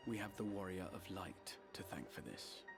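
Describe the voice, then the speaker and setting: A man's voice: deep voice, animated, normal speed, over loud music. A man voicing a courteous, orderly fantasy official in dry, formal video game dialogue. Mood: businesslike concern.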